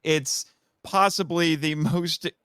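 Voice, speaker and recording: Clear, higher-pitched man talking film. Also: slow pace, pushed and bright, no room sound, clean studio sound. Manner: opinionated, effusive, prone to superlatives. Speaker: podcast guest, kaiju film commentator. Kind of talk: casual film discussion.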